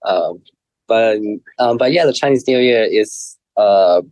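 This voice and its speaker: A young man, a culinary student, talking in a relaxed way with frequent ums in a casual interview. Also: lowish voice, measured pace, no echo.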